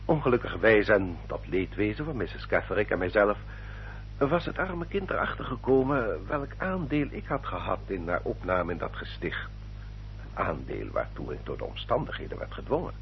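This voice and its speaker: A dull old radio recording with faint hum carries a smooth English baronet. His low voice moves in lively swings as he justifies himself with formal, polished courtesy, in the style of a classic radio play. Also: moderate pace. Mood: smoothly self-justifying. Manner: formal, polished, elaborately polite. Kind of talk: old radio drama acting.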